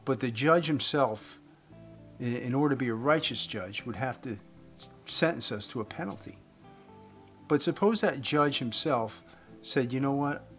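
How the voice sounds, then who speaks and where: A man in his fifties giving an earnest evangelistic talk to camera as a host, phrasing deliberately with emphasis. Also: medium pitch, expressive. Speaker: host giving a faith message. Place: indoors, room with wooden beams. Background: guitar music.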